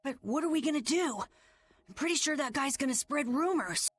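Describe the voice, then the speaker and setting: A woman voicing an earnest, excitable young boy in an anime video game dub. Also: sits high, fairly quick, slight background noise. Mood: nervous, earnest. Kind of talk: English-dubbed anime video game acting.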